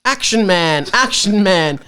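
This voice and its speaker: Animated, giggly Australian man. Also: high-pitched, measured pace, clear, resonant tone. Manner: giggly, sweary, sarcastic. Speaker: podcast guest reading fan fiction aloud. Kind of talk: comedic read-aloud and casual banter.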